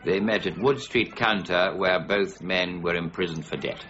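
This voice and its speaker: An actor playing a stubborn, exacting middle-aged warden of the Royal Mint, precise and formal in a radio drama. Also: male voice, deep voice, moderate pace, fairly bright tone. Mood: stern, menacing. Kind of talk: BBC radio drama acting. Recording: muffled radio broadcast with faint noise.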